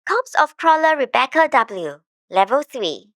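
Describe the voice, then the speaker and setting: A woman's voice: high voice, sing-song, unhurried, fairly bright tone, no echo, clean studio sound, heavily acted. A woman voicing a showy game announcer reading out awards and item stats in a theatrical, snarky manner. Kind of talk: game system announcements, scripted.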